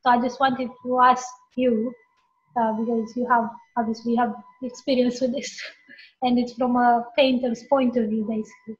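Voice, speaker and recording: A woman hosts an interview and asks rambling questions in a chatty, easy way. Her voice sits high. The audio is muffled and lo-fi. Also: level tone, moderate pace. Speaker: interview host. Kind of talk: casual interview chat.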